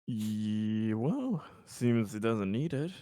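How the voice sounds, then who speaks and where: A man's voice: lowish voice, expressive, takes it slowly, mellow, no room sound, clean studio sound. A man chatting casually as a player in a tabletop roleplaying game. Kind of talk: casual chat at a game session.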